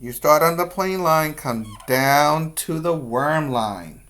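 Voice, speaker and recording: A man's voice: fairly high pitch, animated, takes it slowly, a bit bright. A man working as a preschool teacher, giving simple step-by-step instructions in a lesson for young children. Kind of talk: read-aloud and handwriting lesson for young children.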